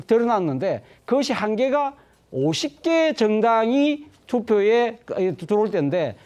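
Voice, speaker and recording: Animated male professor commenting on air. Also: high-pitched. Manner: animated, self-correcting explanation. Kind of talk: studio panel discussion. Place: TV studio.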